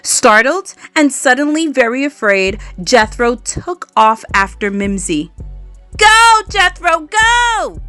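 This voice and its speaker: A woman reading a children's story aloud in an expressive way, drawing out words for dramatic emphasis. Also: mid-range voice. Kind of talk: expressive read-aloud narration for young children.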